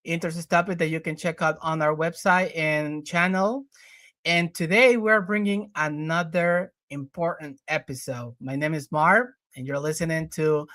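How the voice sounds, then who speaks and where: A man's voice: a little high, fairly bright tone, no echo, studio quality. A man hosting a podcast interview, talking casually with many fillers and restarts. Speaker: podcast host.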